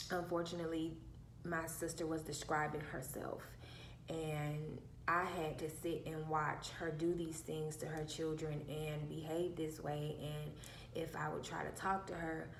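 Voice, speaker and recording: A woman with a soft, childlike, fairly low voice tells a personal story in a casual way. She is emphatic and piles up repeated phrases. The sound is roomy and echoey, with a faint hum behind her. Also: creaky. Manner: emphatic, repeats herself for effect. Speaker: vlogger.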